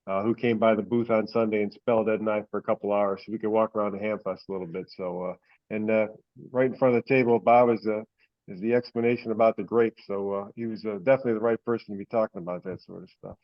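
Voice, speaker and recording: A man's voice: on the low side, little pitch movement, fast. A man giving an informal, rambling, folksy update to a group on an online call. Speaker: presenter on a hobbyist teleconference. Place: online teleconference. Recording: dull, lo-fi conference call audio.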